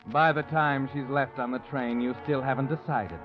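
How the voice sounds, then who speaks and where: A man's voice: mid-range voice, steady tone, normal speed. A man narrating an old radio thriller in the second person, ominous and insinuating. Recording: dull old radio recording with music.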